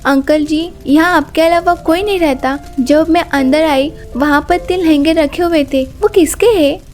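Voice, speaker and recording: Lady doing a really high voiced young girl, polite n curious, kids moral cartoon. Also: unhurried, soft background music. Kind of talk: animated moral storybook acting.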